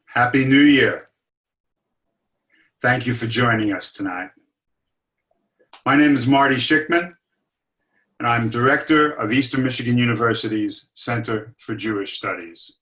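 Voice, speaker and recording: A man speaking as an academic director, giving a formal, scripted introduction to an online lecture in measured phrasing. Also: medium pitch, pushed and bright. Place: online webinar.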